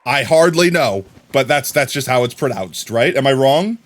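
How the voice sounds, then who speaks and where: An American man talks casually to his viewers, rambling along and yelling excited thanks now and then. His pitch sits in the middle but moves a lot, so the voice sounds lively and animated. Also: normal speed. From United States. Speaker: livestreamer. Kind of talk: casual live-stream chat.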